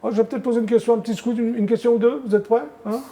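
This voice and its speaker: A man hosting a TV game show, chatty and teasing, quizzing his guests with quick prompts. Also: very high voice, fairly even intonation, noisy background. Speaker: TV show host.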